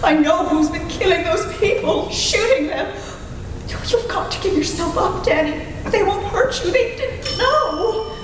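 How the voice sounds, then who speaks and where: A woman playing a grieving mother in a live old-time radio drama, slow, despairing and pleading. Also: very high-pitched, lively intonation, background noise. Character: grieving mother of two sons, worn down. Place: large convention hall, live stage. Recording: echoey hall, heard from far off.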